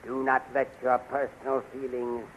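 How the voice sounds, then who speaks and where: An actor playing an old, scheming royal magician in a 1930s radio serial, pompous, deferential and insinuating. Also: male voice, mid-range voice, completely flat delivery, normal speed, lightly acted. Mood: obsequious, cautioning. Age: older. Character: smooth-talking old male royal magician, obsequious schemer. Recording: noisy 1930s radio recording.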